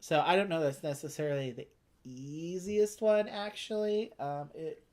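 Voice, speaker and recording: A woman with a fairly low voice talks through a board game as its host. She chats casually, with wry and bawdy asides. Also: normal speed, darkish tone. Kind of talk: casual chat and game commentary.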